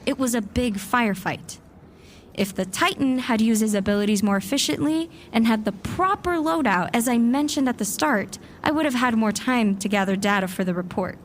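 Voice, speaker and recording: A woman's voice: a little high, normal speed, a little hiss or hum, close to an everyday voice. A woman acting a young, self-assured warlock student who narrates and speaks in a fan audio drama. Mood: defensive.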